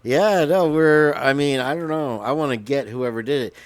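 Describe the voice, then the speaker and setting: A man's voice: medium pitch, moderate pace, no echo. A man hosting a casual livestream, rambling and joking between bits of guitar playing, his voice crackly today. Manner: rambling, joking, sings off-key. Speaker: livestream host. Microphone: headphones with mic.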